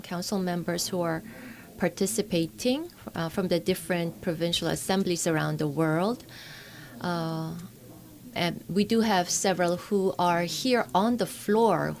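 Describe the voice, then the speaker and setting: A woman giving simultaneous interpretation at a forum, speaking in halting phrases with pauses and restarts. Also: fairly low pitch, a little hiss or hum.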